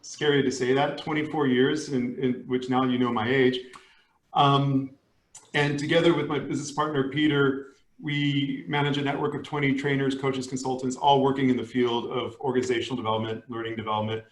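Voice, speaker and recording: A man's voice: mid-range voice, flat delivery, fairly quick. Upbeat man in an echoey room. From San Diego, USA. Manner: friendly, upbeat, with restarts. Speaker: coach and managing partner. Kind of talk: webinar hosting. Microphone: video call.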